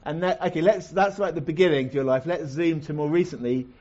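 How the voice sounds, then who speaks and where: Man hosting a stage chat show, chatty and halting, mellow voice, slightly dull lo-fi sound. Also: a little high. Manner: chatty, halting, many restarts. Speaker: host of a chat-show-style event. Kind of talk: live chat show interview. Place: stage before a live audience.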